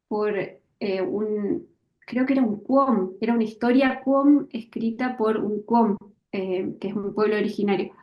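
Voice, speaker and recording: A woman author, from Misiones, talking through her creative process in a thoughtful interview, often pausing and restarting as she looks for words. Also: medium pitch, roomy sound, highs cut off. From Misiones, Argentina. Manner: hesitant, searching for words, many fillers and restarts.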